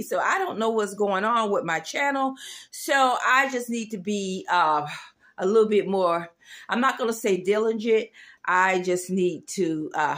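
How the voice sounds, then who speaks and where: A woman talks into her handheld phone as she walks around her home kitchen. She rambles in a plain, everyday way, with plenty of ums and restarts. Her voice sits at an ordinary middle pitch. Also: a bit bright. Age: adult student. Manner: rambling, plain-spoken, with many fillers and restarts. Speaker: vlogger. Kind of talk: casual vlog, showing her food. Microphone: handheld phone camera.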